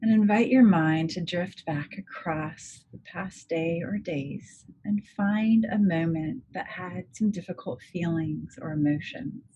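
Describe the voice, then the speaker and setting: A woman leading a guided meditation as a psychologist, speaking in a gentle, measured, soothing manner with deliberate pauses. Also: fairly low pitch, muffled recording, slight background noise. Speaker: clinical psychologist guiding a meditation. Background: music.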